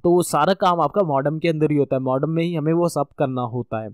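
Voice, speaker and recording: Indian guy explaining stuff like a course teacher, voice a bit high, no room echo, keeps rewording and checking you get it. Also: fast, smooth, studio quality. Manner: repeats and rephrases points, checks understanding. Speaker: networking course instructor. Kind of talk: informal explanatory lecture.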